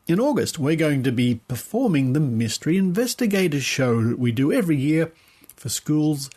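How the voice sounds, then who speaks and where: Male podcast host, husky mid-range voice, chatty and informal. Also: medium pitch, darkish tone. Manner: informal, conversational.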